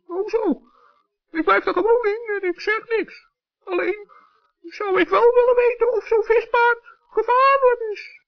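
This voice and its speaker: Tiny male gnome, extremely high squeaky voice, naive, hesitant, children's radio play, muffled old recording. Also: a little slow. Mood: wary curiosity. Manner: childlike, dithering, earnest. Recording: dull 1960s radio recording.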